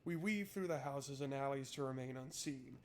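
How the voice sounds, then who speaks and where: A man's voice: medium pitch, moderate pace, darkish tone, a little hiss or hum. A man streaming a game, switching between reading narration aloud and casual, irreverent, sweary joking commentary.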